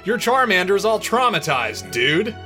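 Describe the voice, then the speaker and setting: A man's voice: mid-range voice, lively intonation, moderate pace, over music, lightly acted. A man playing a blunt, knowing young cartoon sidekick who needles his friend in a matter-of-fact way. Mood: needling.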